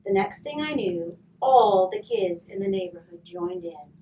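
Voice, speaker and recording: Woman reading a children's story, very lively pitch. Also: unhurried. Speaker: volunteer storybook reader. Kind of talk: expressive children's storybook narration. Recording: roomy, distant mic, faint noise.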